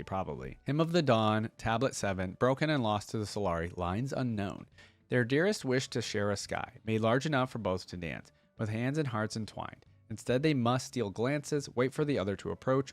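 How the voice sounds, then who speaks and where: A man's voice: lowish voice, no room sound. A man hosting a reaction video, reading stories aloud and commenting on them in a casual, slangy, conversational way. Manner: informal, conversational asides with slangy exclamations.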